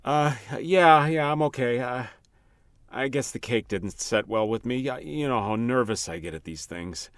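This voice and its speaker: A man playing a nervous, guilt-haunted attorney in his late thirties in a radio drama, with halting delivery. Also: mid-range voice, expressive, close to an everyday voice. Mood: nervous, evasive.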